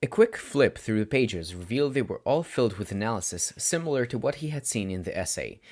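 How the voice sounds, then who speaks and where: A man with a fairly low voice narrates fiction from a script. He reads as a storyteller would for an audiobook. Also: very animated, a little fast, darkish tone. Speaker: podfic narrator.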